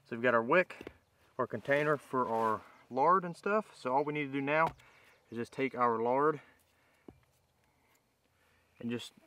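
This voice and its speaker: Guy doing an outdoorsy how-to, bright clear-cut tone, normal pitch, just casually explaining the steps. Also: unhurried, long pauses, slight background noise. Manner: plain-spoken, informal, explanatory. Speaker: bushcraft demonstrator, host. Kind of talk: casual step-by-step tutorial. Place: outdoors in woodland.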